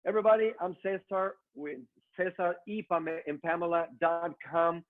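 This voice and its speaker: A man's voice: high voice, fairly even intonation, normal speed. Mexican-accented man, tinny call audio. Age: middle-aged. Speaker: online training speaker. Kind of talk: motivational business presentation. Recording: narrow phone-like online call audio.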